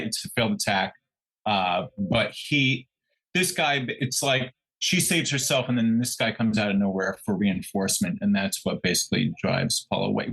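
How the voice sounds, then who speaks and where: A man's voice: on the low side, gravelly, fairly bright tone, rough recording. Male true crime co-host. Conversational storyteller who stumbles, backtracks and corrects himself as he goes.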